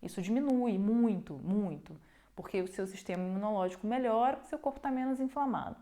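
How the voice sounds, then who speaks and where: A woman's voice: mid-range voice, fairly quick, mellow. A young woman hosting a casual nutrition livestream, talking emphatically and repeating her points with checking tags. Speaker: nutrition live host. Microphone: phone livestream.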